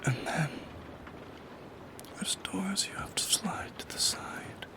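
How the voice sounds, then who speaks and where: A lonely shadow creature played by a man whispers close and intimately in an ASMR roleplay. The delivery is very slow and halting, with a creaky, breathy edge. It sounds shy and yearning, musing softly as it goes. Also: high voice, exaggerated voice. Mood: anxious musing.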